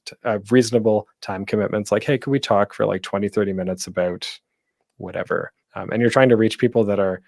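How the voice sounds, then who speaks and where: A man's voice: lowish voice, creaky, a little mellow, no echo. Man giving an informal talk, very dry sound. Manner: conversational, thinking aloud. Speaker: panel host and podcaster giving tips. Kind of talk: informal presentation. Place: at home, online panel.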